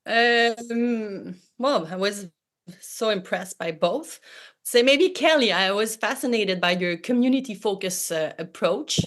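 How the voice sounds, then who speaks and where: A woman's voice: medium pitch, lively intonation, measured pace, a bit bright. Hesitant woman. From Quebec, Canada. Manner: hesitant, many fillers and restarts, light laughs. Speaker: panelist, sauna operator. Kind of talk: panel discussion answer.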